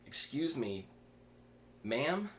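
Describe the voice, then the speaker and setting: Male reader as awkward young man in a horror story, raised pitch, stammering and eager, slight background noise. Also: big pitch swings, extremely slow, a bit bright, heavily acted. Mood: nervous, eager. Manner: stuttering, hesitant.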